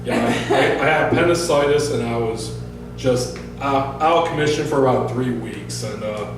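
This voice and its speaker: An American man speaking as an author at a public reading, casual and halting, with a self-deprecating, informal way of talking. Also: mid-range voice. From northern Michigan, United States. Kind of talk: casual spoken introduction and story reading to a small audience. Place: bar-like event venue, recorded from across the room. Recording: echoey venue, distant mic, music behind.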